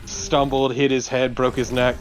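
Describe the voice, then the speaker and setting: A man's voice: mid-range voice, level tone, moderate pace, soft background music, rough recording. A man chatting casually as co-commentator on a video game. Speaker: let's play co-commentator. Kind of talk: casual chat over gameplay.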